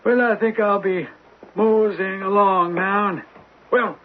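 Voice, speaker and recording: A man's voice: sits high, steady tone, takes it slowly. A man in a radio drama playing a folksy, rambling American detective who poses as an affable hunter. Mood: rambling, uneasy. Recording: thin phone-like old radio, noisy.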